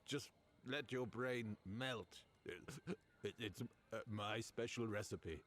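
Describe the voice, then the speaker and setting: Low male voice, tipsy hairy little fairy, slurred, cajoling, pushy, game character, faint background noise. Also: slow pace, fairly bright tone. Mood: drunkenly coaxing. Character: small drunken, hairy, smelly fairy man, pushy and brawling. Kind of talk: video game character acting.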